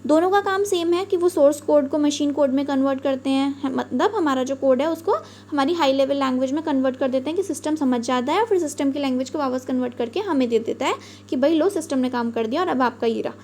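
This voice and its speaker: High-voiced woman teaching computer basics. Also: fast, hardly any pauses, darkish tone, slight background noise. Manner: patient, repeats points with everyday examples. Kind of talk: explanatory lecture.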